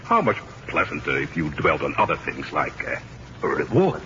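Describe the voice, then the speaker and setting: A man's voice: a bass voice, wildly swooping pitch, normal speed, sharp tone, heavily acted. A man playing a suave, scheming Spanish general in a 1940s radio play, with an oily, courtly, theatrical manner. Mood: silky menace. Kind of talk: 1940s radio drama acting. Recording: dull, hissy 1940s radio recording.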